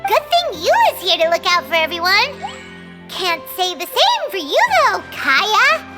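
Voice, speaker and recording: A woman voicing a tiny floating female companion in a game dub, talking in high, squeaky, excitable chatter. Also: a little slow, heavily acted. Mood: chirpy. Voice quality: high squeaky chatter, calls herself by name. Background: game music.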